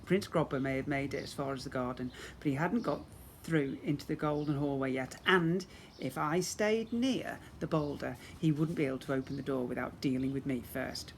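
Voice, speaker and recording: A man reading a children's storybook aloud as a teacher, in an expressive, dramatic storytelling manner. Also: medium pitch, moderate pace, gravelly, a little hiss or hum.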